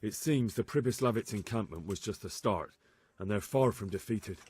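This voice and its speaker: A man's voice: lowish voice, moderate pace, darkish tone, husky. An actor voicing an earnest, respectful young man-at-arms in a medieval video game cutscene. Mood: grave, reporting. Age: young adult.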